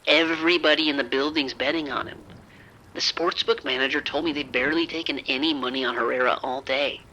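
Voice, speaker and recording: An actor playing a reckless gambler in an audio drama, cocky and breezy, always coaxing and persuading. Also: male voice, mid-range voice, fairly even intonation, a little fast, bright, forceful tone, low-quality recording.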